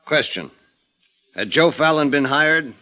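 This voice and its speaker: An actor playing a dry, practical police sergeant in a 1950s radio drama. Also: male voice, on the high side, animated, a little slow, pushed and bright.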